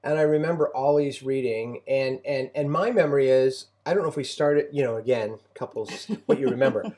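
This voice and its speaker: A middle-aged man talking conversationally as a parent and co-host, reflective and halting, often repeating phrases. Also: mid-range voice, a little mellow.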